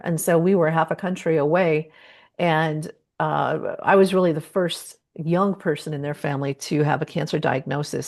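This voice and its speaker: Female guest advocate, low voice, candid and reflective, restarts phrases as she shares personal experience online. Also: darkish tone. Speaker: guest, patient advocate and cancer survivor.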